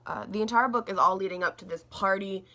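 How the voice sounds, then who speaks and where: A young woman talks through a book review in loose, rambling vlog chat. Her throat is a little scratchy, giving her middle-pitched voice a slight gravel. She circles back on herself, piling up fillers and restarts. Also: fast, sharp tone. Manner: rambling, says like a lot, restarts often.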